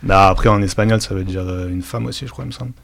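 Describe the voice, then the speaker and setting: A man's voice: sits low, quick pace, gravelly, slight background noise. A young man answering as a guest rapper in casual, laid-back, slangy interview chat.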